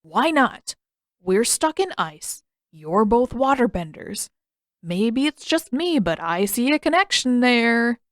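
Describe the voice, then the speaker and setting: A woman's voice: on the high side, very animated, slow pace, dry recording, studio quality, voice pushed up. A woman voicing an exasperated, sarcastic teenage boy from an audiobook adventure, complaining with indignant emphasis. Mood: impatient sarcasm.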